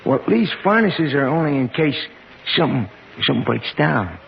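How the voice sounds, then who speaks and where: An actor playing a simple-minded, loyal, gentle night watchman with childlike, hesitant speech in a 1950s radio drama. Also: medium pitch, very animated, normal speed, creaky, husky, exaggerated voice. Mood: timid, helpful. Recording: muffled, noisy old 1950s radio recording.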